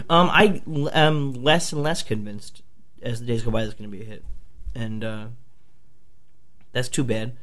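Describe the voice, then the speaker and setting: A man on a podcast, hoarse from a flu, talking excitedly and swearing often as he raves about movies. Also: mid-range voice, a little slow, pauses often. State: a few bourbons in. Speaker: podcast host. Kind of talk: casual movie talk.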